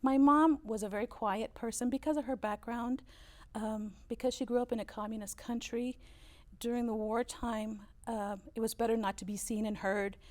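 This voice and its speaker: A woman's voice: a little high, fairly even intonation, moderate pace. A woman in her fifties whose first language is German, telling family stories as an interview guest with lots of "uh" fillers. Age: 50s. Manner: storytelling, warm recollection with frequent "uh" fillers. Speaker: interview guest, business owner. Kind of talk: relaxed interview conversation.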